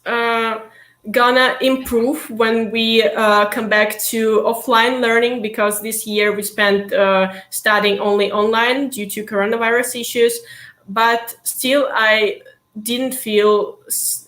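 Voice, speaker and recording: A young woman, a university student, answering interview questions in a hesitant, casual way with many pauses and easy laughter. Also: medium pitch, fairly bright tone, roomy sound. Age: young adult.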